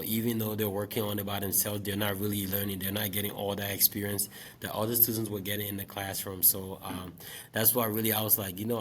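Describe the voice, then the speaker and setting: Low-voiced man. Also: a little hiss or hum. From Liberia. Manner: relaxed, reflective, frequent fillers. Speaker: guest, special education math teacher. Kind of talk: casual interview talk.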